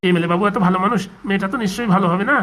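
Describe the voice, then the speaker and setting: A woman's voice: medium pitch, rapid-fire, a bit bright, a little hiss or hum, natural voice. A woman playing a humble, worried village father in a comic cartoon, hesitant and deferential. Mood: content.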